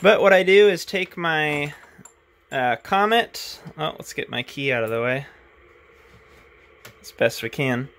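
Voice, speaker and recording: A man's voice: on the high side, expressive, unhurried, long pauses, fairly bright tone. A man casually showing off his radio gear at his desk, thinking aloud with frequent "uh"s as he handles the equipment. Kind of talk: casual hands-on demonstration.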